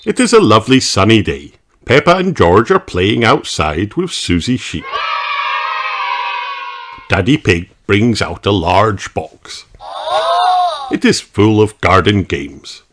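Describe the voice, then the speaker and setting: A storyteller reading a children's picture book aloud in an expressive, animated way. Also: sits low, takes it slowly, long pauses, background noise. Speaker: audiobook reader.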